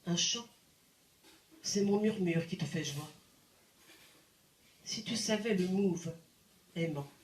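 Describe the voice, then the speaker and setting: A woman reciting poetry in a deliberate, dramatic manner with expressive phrasing and pauses. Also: lowish voice. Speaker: poet reciting. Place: indoor live poetry evening venue. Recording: roomy live recital sound. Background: plucked guitar music.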